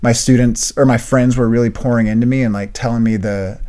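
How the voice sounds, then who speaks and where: A man's voice: medium pitch, steady tone, fairly quick, dark tone. A young man co-hosting a podcast, chatting casually and telling a story with enthusiastic bursts. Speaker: podcast co-host. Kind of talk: casual chat.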